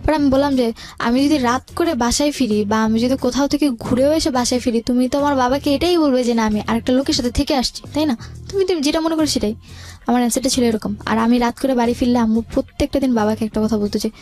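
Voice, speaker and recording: A woman's voice: sits high, fast talker, a little mellow. A young woman with a Bangladeshi accent tells a personal story conversationally as a guest, colloquially and with some English words mixed in. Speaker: guest telling life story. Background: background music.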